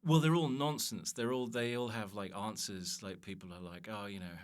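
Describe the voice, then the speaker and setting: A man with a fairly low voice talks as a comedian guest on a podcast. He tells stories casually and with animation, often at his own expense. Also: steady tone, normal speed. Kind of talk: casual comic storytelling chat.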